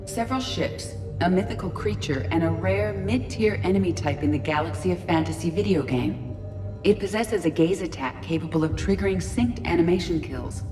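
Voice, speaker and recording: A calm female ship AI, played by a woman, measured and literal in every answer. Her slightly low voice carries a long reverb over music in this game recording. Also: natural voice. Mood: precise. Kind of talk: video game dialogue.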